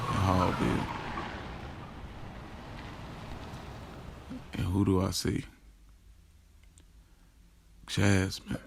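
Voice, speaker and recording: A man's voice: deep voice, vocal fry, background noise, heavily acted. An American man playing a young, streetwise rideshare driver who tells his story in a slangy, hesitant, confessional audio drama narration. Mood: puzzled. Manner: conversational, slangy, hesitant self-corrections. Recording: music behind the voice. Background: music.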